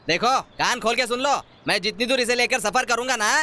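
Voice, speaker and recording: Man acting as shrill, indignant village man on a bus. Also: a little fast, bright, forceful tone, exaggerated voice. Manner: indignant, repetitive, hectoring. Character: belligerent, argumentative village man riding a bus. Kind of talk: broad film-style comedy sketch acting.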